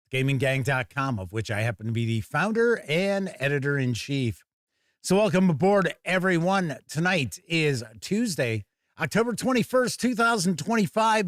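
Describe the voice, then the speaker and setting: A man hosting a casual live tabletop gaming stream, a little raspy and hoarse from being under the weather, talking in a folksy, upbeat way. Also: on the high side, dry recording, studio quality. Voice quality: slightly raspy. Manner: upbeat, folksy greetings, chatty. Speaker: host. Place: home studio.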